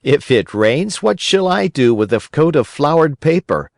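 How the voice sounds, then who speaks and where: A man's voice: on the high side, sing-song, measured pace, gravelly, dry recording, clean studio sound, pitched above the performer's own voice, heavily acted. A man voicing a sensible little boy with a mocking, matter-of-fact manner, in a storybook reading. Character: teasing, sensible little boy refusing bargains.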